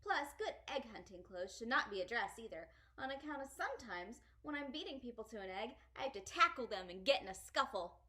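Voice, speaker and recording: A woman's voice: a little high, very animated, brisk, hardly any pauses, pushed and bright. A woman voicing an exuberant, chatty little girl from a storybook, with emphatic, childlike phrasing. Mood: earnest.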